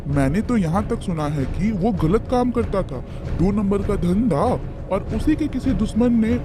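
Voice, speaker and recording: Man doing a chatty high-voiced village neighbour. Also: animated, measured pace, background noise. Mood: confiding, cautioning. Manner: chatty, confiding. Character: gossipy, advising male village neighbour. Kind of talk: animated children's moral story dialogue. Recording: music behind the voice.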